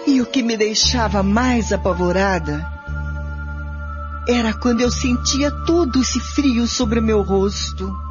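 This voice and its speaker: Radio play: actress as frightened young maid, slow, high, pleading, close to tears. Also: female voice, close to an everyday voice. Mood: fearful. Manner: pleading, trembling, overwrought. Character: frightened young housemaid, tearful and desperate. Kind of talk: radio soap melodrama. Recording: dull lo-fi radio play with music.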